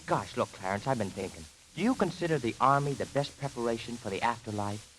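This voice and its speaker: A man's voice: mid-range voice, fast talker, natural voice, low-quality recording. An actor playing an earnest, naive, lovestruck teenage boy in old-time radio comedy style. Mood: earnest, anxious. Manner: eager, naive, excitable. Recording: faint hiss, old radio recording.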